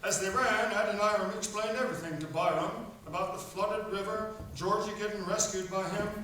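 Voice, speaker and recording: A man's voice: high voice, steady tone, brisk, bright, forceful tone. A man narrating a children's radio play in a storybook style. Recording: echoey room, distant mic, faint noise.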